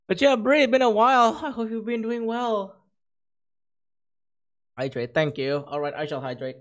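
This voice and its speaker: A streamer who has talked far too long, the voice rough, hoarse and cracking at the edges. The delivery is excitable and gushing, with a self-mocking streak as they keep thanking viewers. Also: mid-range voice, normal speed. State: hoarse, losing voice. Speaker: livestreamer. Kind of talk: casual stream chat with viewers.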